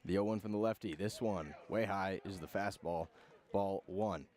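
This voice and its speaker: A man doing live radio play-by-play of a baseball game in clipped phrases, with pauses between calls. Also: low voice, a little mellow. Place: outdoor ballpark broadcast.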